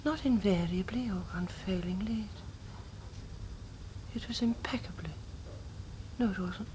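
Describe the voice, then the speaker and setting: A woman's voice: mid-range voice, unhurried, noisy background, natural voice. A woman playing a lonely, agitated widow in an intimate drama, hesitant and questioning, often repeating herself. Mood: uneasy, pleading. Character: lonely, agitated widow talking to her dead husband. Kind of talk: intimate dramatic monologue acting.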